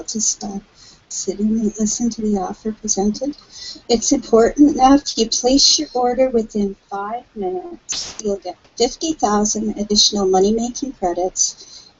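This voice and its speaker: A woman from Alberta co-hosting a live webcast, reading a sales offer aloud from the screen in a brisk manner. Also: medium pitch, breathy edge, dull audio. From Alberta, Canada. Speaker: webcast co-host.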